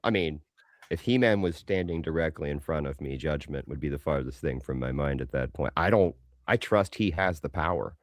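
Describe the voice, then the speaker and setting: A man on a podcast panel, dropping wry deadpan quips in casual chat. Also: extremely low pitch, normal speed, dry recording, studio quality.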